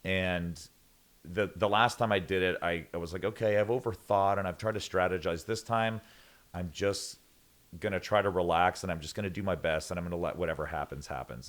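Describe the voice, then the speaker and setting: A man chatting casually as a guest singer, telling rambling stories that he keeps interrupting himself. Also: low voice. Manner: rambling, self-interrupting storyteller.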